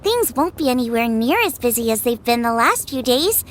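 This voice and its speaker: A woman's voice: fairly high pitch, sing-song, normal speed. A woman voicing a cheerful, chatty young girl in an anime game. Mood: upbeat.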